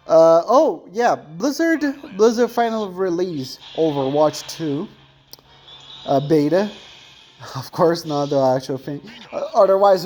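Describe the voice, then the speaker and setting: A man's voice: fairly high pitch, dark tone. Thin-voiced young man rambling over gameplay. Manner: rambling, self-correcting, chatty. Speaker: gameplay commentator. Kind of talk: casual gameplay chat.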